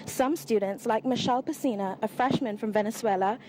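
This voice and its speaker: A woman reporter narrating a student news package in an even, scripted way. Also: on the high side, a little fast, slight background noise.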